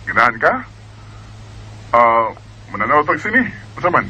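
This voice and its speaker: A man's voice: medium pitch, big pitch swings, moderate pace, bright, forceful tone, slightly rough, dull audio, heavily acted. A man with a Filipino Bisaya accent playing an earnest, easygoing young suitor in an amateur radio drama. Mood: easygoing, inviting. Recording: noisy homemade radio drama recording.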